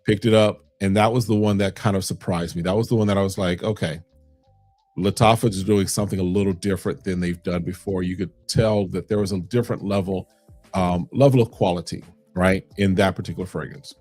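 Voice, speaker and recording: Low-voiced man chatting on a livestream. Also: steady tone, brisk, a little mellow, soft background music. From Chicago, South Side. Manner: loose, conversational, answers chat questions with frequent fillers. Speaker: fragrance livestream host. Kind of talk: casual livestream chat reading comments.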